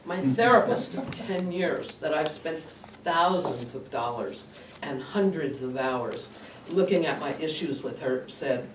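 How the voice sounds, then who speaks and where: A man's voice: on the high side, expressive, a little slow. A man playing a bitter, twice-divorced woman in a comic stage monologue, with a dry, complaining manner. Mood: wry, resigned. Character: aggrieved twice-divorced woman named Pat, bitter about her mother. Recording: distant, roomy, noisy live recording.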